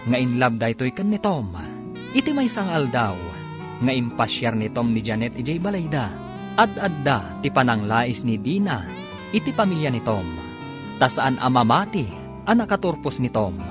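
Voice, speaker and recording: Guy narrating a radio serial, steady storyteller voice, music bed behind. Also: mid-range voice. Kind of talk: scripted radio drama narration.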